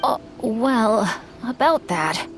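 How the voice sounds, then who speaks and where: A woman voicing a polite, bookish young gentleman in a video game, speaking in a formal, courteous and refined manner. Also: fairly high pitch, expressive, slow pace, over loud music. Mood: courteous, hesitant.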